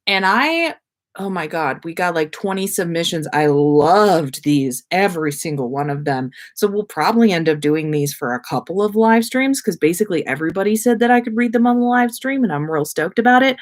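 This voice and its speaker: A woman hosts a livestream in a casual, chatty style. Her voice sits a little lower than most women's, and she talks at a markedly slow pace. She is effusive and rambling, often playfully aware of herself as she addresses her viewers. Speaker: livestream host.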